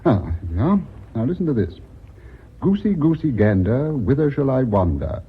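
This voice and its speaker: Raspy, eccentric old designer, boxy sound. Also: male voice, medium pitch, very animated, normal speed. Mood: gleeful. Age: older. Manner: whimsical, gleeful, declaiming. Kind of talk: vintage British radio play acting. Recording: dull, narrow old radio recording.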